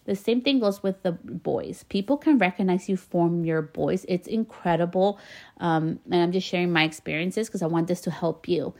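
Woman podcast host, slight Spanish-tinged accent, earnest and encouraging, a touch low-pitched. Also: normal speed, darkish tone. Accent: slight Spanish-influenced. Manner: earnest, encouraging, laughs between phrases. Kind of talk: casual motivational monologue.

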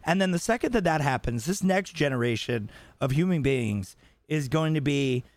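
A man in his late thirties co-hosting a podcast, with a slightly gravelly voice, talking bluntly and jokingly with lots of fillers. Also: on the high side, expressive, moderate pace, no room sound. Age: 30s. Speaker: podcast co-host. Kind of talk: casual chat.

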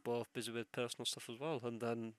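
A man's voice: medium pitch, little pitch movement, fairly quick, no room sound. A young man hosting a solo podcast while ill, with a sore throat and sniffles, rambling and swearing as he loses his train of thought. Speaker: podcast host.

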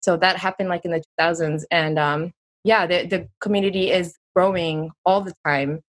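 A woman's voice: on the low side, steady tone, moderate pace. A woman in her thirties, a guest speaking in a chatty, reflective way with many fillers. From United States. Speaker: interview guest. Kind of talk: casual interview talk.

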